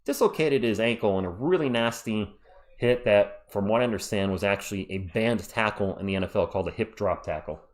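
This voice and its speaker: A man's voice: lowish voice, fairly quick. A man hosting a live sports stream, chatting casually and reading viewer comments aloud, his voice worn out.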